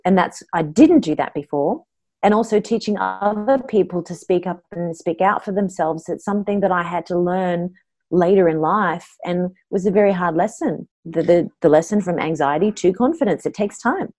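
A middle-aged Australian woman speaks as an interview guest, with a voice sitting a bit low for a woman. She tells her story in an open, earnest way, as a voice coach talking about her work. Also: moderate pace, a little mellow.

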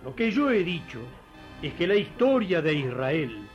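Steadfast, lively-voiced Argentine potter. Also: male voice, on the high side, unhurried, lo-fi sound, soft background music. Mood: firm, solemn. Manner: earnest, firm. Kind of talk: radio theatre acting.

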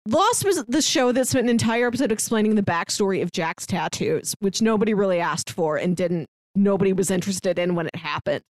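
A woman co-hosting a podcast, chatting casually and excitedly about a TV show, laughing often. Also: medium pitch, a little fast, hardly any pauses, no room sound. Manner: animated, laughs often, acts out quotes. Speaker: podcast co-host. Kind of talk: casual chat reviewing a TV show.

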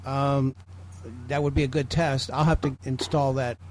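A man's voice: medium pitch, little pitch movement, normal speed, a little mellow, a little hiss or hum. A man from Illinois with an American accent, chatting casually and explaining things from a small home office. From Illinois, United States. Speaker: guest on a group call.

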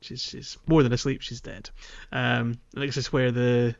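A man co-hosting a casual gaming podcast, recapping in a halting, stammering way with frequent false starts. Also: medium pitch, little pitch movement, creaky, highs cut off. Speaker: podcast co-host. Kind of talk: casual game recap chat.

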